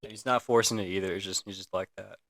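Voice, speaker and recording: A young man co-hosting a casual podcast chat, halting and filler-heavy. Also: low voice, fairly even intonation, gravelly, a bit bright, no room sound, clean studio sound. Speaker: podcast co-host.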